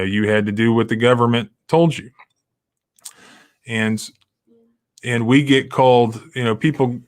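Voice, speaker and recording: Middle-aged male host, rambling and emphatic in casual commentary. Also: medium pitch. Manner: rambling, many restarts and fillers, emphatic. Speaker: civil rights lawyer hosting a live stream. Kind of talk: casual live-stream commentary.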